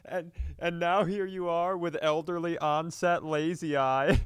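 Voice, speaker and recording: Male comedy co-host, smooth tone, dry close sound, casual, vulgar and self-deprecating. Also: a little high, fairly even intonation, a little slow, dry recording. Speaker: podcast co-host.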